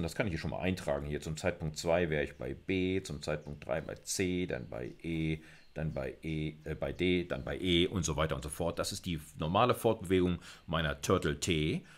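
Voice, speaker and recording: A middle-aged man giving an informal recorded lecture, thinking aloud and putting questions to himself as he explains. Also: a bass voice. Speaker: university computer science lecturer.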